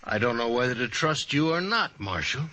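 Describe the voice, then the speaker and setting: An actor playing a bitter, distrustful cavalry soldier in an old-time radio western, curt and sardonic. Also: male voice, medium pitch, very animated, normal speed, bright, forceful tone. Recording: faintly noisy vintage radio recording.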